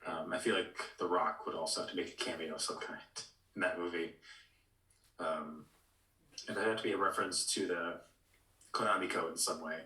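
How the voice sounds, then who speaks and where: Deep-voiced man in an echoey room. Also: steady tone, brisk, long pauses, pushed and bright. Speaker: podcast co-host. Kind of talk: casual opinion chat. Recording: echoey room, distant mic.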